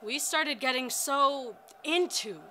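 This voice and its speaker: A woman's voice: sits high, takes it slowly, sharp tone, a little hiss or hum, heavily acted. An actress playing a fervent woman strike organizer, speaking emphatically and earnestly in a dramatized oral history.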